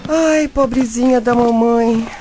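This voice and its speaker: A woman's voice: fairly high pitch, slow pace, over music. An actress playing a dramatic, doting mother in her late thirties with a Brazilian accent in a comedic radio drama. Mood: mournful. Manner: theatrical, plaintive. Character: dramatic, doting 38-year-old mother, a reporter.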